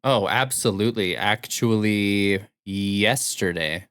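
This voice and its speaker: A man's voice: on the low side, slow pace, a bit bright, clear, resonant tone, no room sound, clean studio sound. A man chatting casually about games as a podcast co-host. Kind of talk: casual video game podcast chat.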